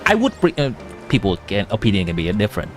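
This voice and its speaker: A man's voice: on the low side, huge pitch swings, quick pace. A young man from Vietnam, a native Vietnamese speaker with a Vietnamese accent, chatting casually on a live game stream and answering viewers while giving game advice. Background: game music.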